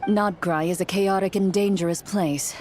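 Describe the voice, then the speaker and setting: A woman's voice: medium pitch, fairly even intonation, moderate pace, a little mellow, lightly acted. A woman playing a serious, protective duelist bodyguard in a video game, cool, dry and cautious. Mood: stern, dry. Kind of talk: video game character acting. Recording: faint game music behind.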